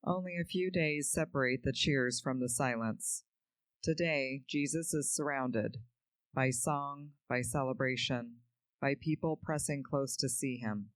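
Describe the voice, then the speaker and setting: A man's voice: mid-range voice, unhurried, a bit bright, no echo. Solemn man reading steadily, dry sound. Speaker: reader. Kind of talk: scripted dramatic reading.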